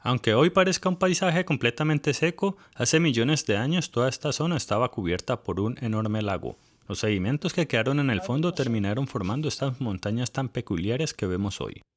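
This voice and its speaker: Lively scripted man narrating a travel voice-over. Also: medium pitch, a little fast, hardly any pauses. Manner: polished, lightly witty narration.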